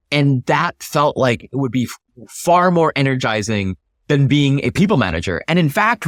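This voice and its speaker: A man's voice: mid-range voice, dry recording, studio quality. A man speaking as an interview guest, explaining his thinking in an emphatic, conversational way with rhetorical questions. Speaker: interview guest, startup founder.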